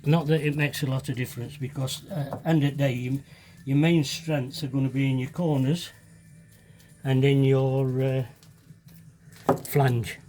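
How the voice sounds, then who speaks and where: Mellow-voiced man with a slight sniffle. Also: medium pitch, steady tone, measured pace, slight background noise. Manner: chatty, self-deprecating, thinking aloud while working. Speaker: DIY video maker demonstrating fibreglassing. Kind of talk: casual hands-on tutorial narration.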